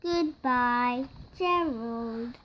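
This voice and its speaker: A five-year-old child reading a picture book aloud in a halting, word-by-word way, acting out the parts. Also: mid-range voice, a bit bright, a little hiss or hum. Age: child, five years old. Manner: slow, halting word-by-word reading with acted-out sneezes.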